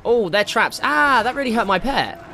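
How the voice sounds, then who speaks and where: A man giving casual, excitable commentary while playing a video game, jokey and slangy, with exclamations and swearing. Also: very high voice, moderate pace. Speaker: gaming video host. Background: game music.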